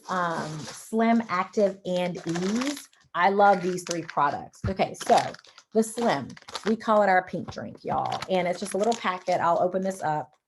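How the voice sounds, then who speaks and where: A hoarse, raspy woman from Louisiana with a Southern accent, chatting through a sales presentation on a video call, full of fillers and y'alls. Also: lowish voice. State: hoarse from talking too much. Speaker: supplement seller presenting on a call.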